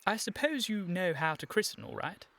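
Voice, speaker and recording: An actor playing an earnest, evasive young English gentleman in a radio comedy, formal and slightly flustered. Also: high voice, huge pitch swings, brisk, vocal fry, full character voice. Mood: awkward, casual. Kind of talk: period stage comedy radio acting.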